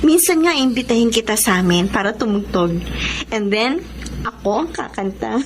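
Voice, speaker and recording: A woman playing a frank young Filipina in a radio drama, friendly yet firm, expressive and mixing in English. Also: on the high side, moderate pace, a little hiss or hum. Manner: expressive, mixes English into Tagalog. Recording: dramatic music under the voice.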